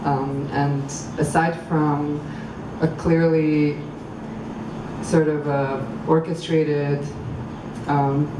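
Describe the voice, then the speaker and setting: A woman from Croatia reading poems at a public event, halting and thoughtful between poems and measured while reading. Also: deep voice, flat delivery. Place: outdoor public venue. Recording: echoey, far from mic, street noise.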